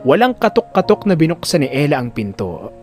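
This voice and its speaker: Animated male audio drama narrator. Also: a little high, brisk, music in the background. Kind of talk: dramatic scripted story narration.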